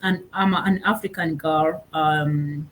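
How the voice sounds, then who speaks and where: A young woman with a Rwandan accent, a guest on a casual interview, pausing often and searching for her words. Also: deep voice, steady tone, slight background noise, poor audio. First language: Kinyarwanda. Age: young adult. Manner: halting, searching for words with many fillers.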